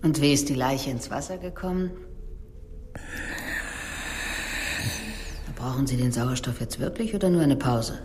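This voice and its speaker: A woman's voice: a bass voice, a little fast, a little mellow, a little hiss or hum. An actress playing a sardonic, menacing police detective in a radio drama, needling and sarcastic as she questions suspects. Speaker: police investigator.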